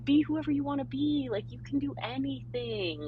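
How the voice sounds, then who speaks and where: A woman's voice: high voice, moderate pace, narrow, tinny audio, slight background noise. A woman raised in the San Francisco Bay Area, chatting as a podcast guest and laughing often between fillers. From born in Germany, raised in the San Francisco Bay Area. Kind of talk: casual interview conversation.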